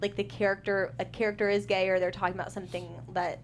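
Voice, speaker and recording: A woman screenwriter talks through her work in a relaxed panel chat. She rambles a little, full of fillers and restarts, in a mid-range voice. A faint hiss sits under the recording. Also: mid-range voice, fast talker. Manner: chatty, many fillers and restarts. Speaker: screenwriter guest. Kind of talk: casual panel discussion.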